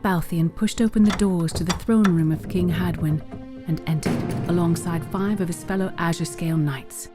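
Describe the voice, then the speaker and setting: A woman's voice: on the low side, fairly even intonation, normal speed. A woman narrating a fantasy story in a scripted, dramatic storytelling style. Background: faint music.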